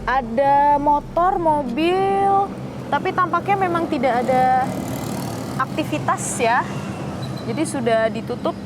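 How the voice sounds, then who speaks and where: A woman's voice: high voice, lively intonation, slow pace, noisy background. A woman working as a TV reporter, explaining things to viewers in a conversational, improvised on-location style.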